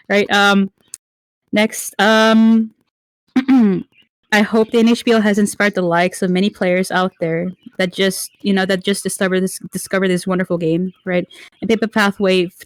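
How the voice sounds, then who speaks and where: A woman, a Spanish speaker, delivers a prepared speech to her online community. She is under the weather, and her voice sounds a bit murky and dry. She talks haltingly, restarting phrases and leaning on fillers. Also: mid-range voice, no room sound, low-quality recording. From Mexico. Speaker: league referee giving a speech.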